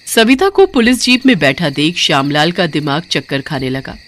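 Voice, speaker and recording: A woman's voice: low-pitched, sing-song, normal speed, a bit bright, slight background noise. A woman narrating a story in a dramatic, scripted storytelling style.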